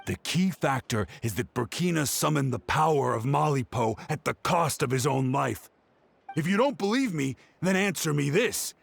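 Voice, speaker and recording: A man playing an earnest, excitable young researcher in a video game, explaining things insistently. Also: fairly high pitch, moderate pace, vocal fry, exaggerated voice.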